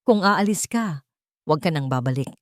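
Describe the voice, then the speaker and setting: A woman's voice: low-pitched, lively intonation, normal speed, no echo, studio quality. A woman with a Filipino accent voicing a grumpy, bossy female eatery owner who scolds sharply in a story. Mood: scolding.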